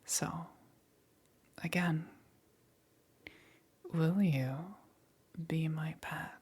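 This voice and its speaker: Woman playing a slow, whispering, menacing demon queen. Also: sits low, steady tone, exaggerated voice. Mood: softly threatening. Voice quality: breathy, whispery. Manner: slow, coaxing, softly amused and commanding. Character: menacing, teasing demon queen claiming a captured pet. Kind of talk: ASMR roleplay.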